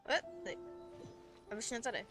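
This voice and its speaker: A woman's voice: a little high, moderate pace, bright, forceful tone, faint music behind. A woman game streamer chatting informally and colloquially on a live stream. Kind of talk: casual live-stream chat.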